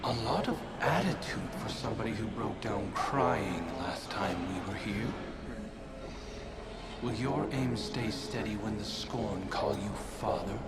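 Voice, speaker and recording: A man's voice: fairly low pitch, expressive, measured pace, loud music behind the voice. An actor playing a sly, cynical male phantom in a video game, needling his listener in a mocking, goading way.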